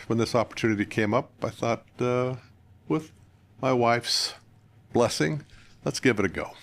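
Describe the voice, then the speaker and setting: An older American man from northern Utah, a guest candidate giving plain-spoken, conversational interview answers in measured phrases. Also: medium pitch, gravelly. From northern Utah, United States. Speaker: political candidate, podcast guest.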